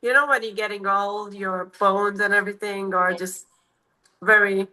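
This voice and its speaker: A woman's voice: mid-range voice, fairly even intonation, normal speed, bright, forceful tone, poor audio. A woman in her thirties from Romania with a Romanian accent, answering interview questions in a casual, hesitant way with many fillers and restarts. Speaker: MMA fighter, guest.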